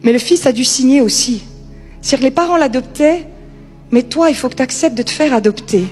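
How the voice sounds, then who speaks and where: A woman's voice: sits high, brisk, darkish tone, soft background music. A woman preacher giving an informal sermon in a conversational, emphatic way, repeating key phrases.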